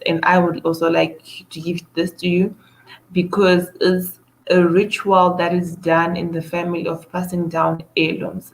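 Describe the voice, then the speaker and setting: A woman's voice: fairly low pitch, low-quality recording. A woman from Zimbabwe hosting her own show, talking in a hesitant monologue full of "um" fillers and restarts. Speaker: show host. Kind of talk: casual monologue to camera.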